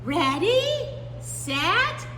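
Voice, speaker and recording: A woman squeaking as a tiny clever bat. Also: big pitch swings, drawn-out words, sharp tone, reverberant, big-room sound, a little hiss or hum, exaggerated voice. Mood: eager.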